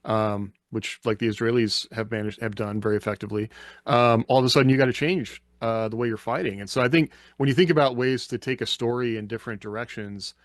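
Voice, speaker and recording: A man's voice: mid-range voice, fairly even intonation, fast, no room sound, clean studio sound. A man giving his thoughts in a casual podcast panel discussion.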